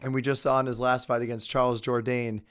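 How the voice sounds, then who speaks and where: A young adult man with a head cold talks through fight predictions in a relaxed, conversational way. His voice stays smooth and clear at a middle pitch, even though he says he is losing it. He states his opinions with confidence. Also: quick pace. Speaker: host. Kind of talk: casual sports picks commentary.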